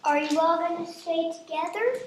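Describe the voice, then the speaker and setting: A very echoey recording of a woman playing an earnest young sister. The voice is high and matter-of-fact, in amateur radio drama style, with faint noise. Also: normal speed. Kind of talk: amateur radio play acting.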